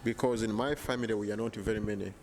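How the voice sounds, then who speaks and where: A man in his thirties with a Ugandan accent, answering interview questions in an earnest, measured way, held mic in a hotel lounge. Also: fairly low pitch, steady tone. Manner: earnest, measured, frequent restarts. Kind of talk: casual interview. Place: bright hotel lounge indoors. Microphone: handheld lapel mic.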